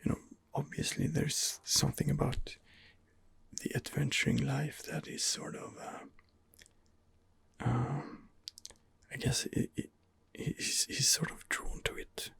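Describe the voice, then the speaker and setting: A man giving ASMR game commentary in a breathy, husky whisper, hesitant and rambling as he muses his way along. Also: medium pitch, level tone, slow, a bit bright. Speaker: video game streamer, channel host.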